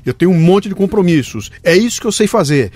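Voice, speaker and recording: A man's voice: high-pitched, expressive, quick pace, a little mellow, husky, faint music behind. A man hosting an interview podcast, emphatic and prone to repeating words. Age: 50s. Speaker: podcast host. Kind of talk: conversational interview.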